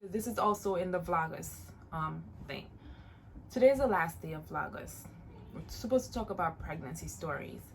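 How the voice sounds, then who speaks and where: A woman's voice: on the low side, expressive, normal speed, gravelly, slight background noise, rough recording. Chatty Jamaican-born woman in her late twenties. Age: late 20s. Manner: chatty, encouraging, repeats phrases for emphasis. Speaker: vlogger. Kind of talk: casual advice vlog.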